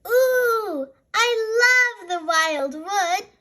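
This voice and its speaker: A woman's voice: extremely high pitch, expressive, drawn-out words, pushed and bright, voice pushed up, full character voice. A woman voicing a small, curious girl piglet from a children's storybook, sweet and eager. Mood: delighted.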